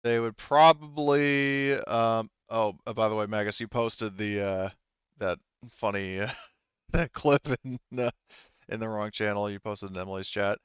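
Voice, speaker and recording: A man's voice: fairly low pitch, steady tone, unhurried, fairly bright tone, smooth, no room sound, highs cut off. A man casually running a tabletop game as game master, thinking aloud with a hesitant delivery.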